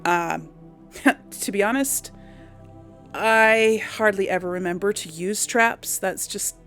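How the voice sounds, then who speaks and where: A woman's voice: mid-range voice, slow, a bit bright. A woman hosting a casual game commentary, talking informally to her audience and thinking aloud as she rambles along. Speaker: gaming host. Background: music.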